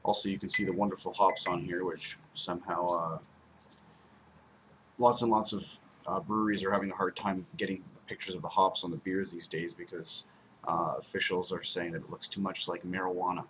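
A man's voice: deep voice, flat delivery, a little fast, a bit bright, a little hiss or hum, rough recording. A young man casually reviewing a beer at home, rambling and repeating words for emphasis, recorded on a desk webcam. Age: young adult. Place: home room by window blinds, indoors.

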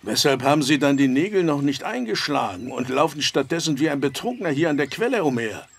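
An actor playing a strict, troubled farmer and head of household, formal and plain-spoken, in a German audio drama. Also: male voice, on the high side, very animated, fairly quick, vocal fry, breathy edge, exaggerated voice. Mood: stern, suspicious.

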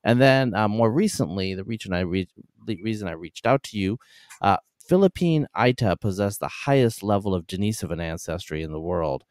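A man's voice: on the low side, animated, a little fast, dry recording, clean studio sound. A man hosting an interview podcast, talking casually with many fillers and restarts. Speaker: podcast host.

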